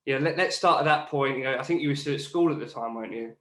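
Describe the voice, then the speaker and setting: A man's voice: medium pitch, rapid-fire, fairly bright tone, echoey room. A Geordie man hosting a podcast, chatting in a casual, matey way and asking leading questions. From Newcastle, England. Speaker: podcast host. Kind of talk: casual interview.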